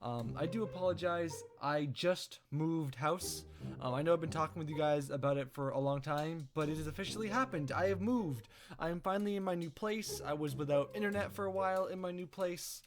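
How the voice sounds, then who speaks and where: A man hosting a casual playthrough, reading a visual novel aloud with expressive storytelling. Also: fairly high pitch, fairly quick. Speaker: let's play host. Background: game music.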